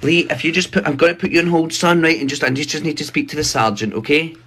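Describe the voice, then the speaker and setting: A man's voice: on the high side, steady tone, fast talker, bright, forceful tone, a little hiss or hum. A man in his thirties making a prank phone call in a rambling, mock-official manner, recorded on a phone in a bedroom. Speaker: prank caller. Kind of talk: prank phone call posing as army recruiter. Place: small bright bedroom indoors.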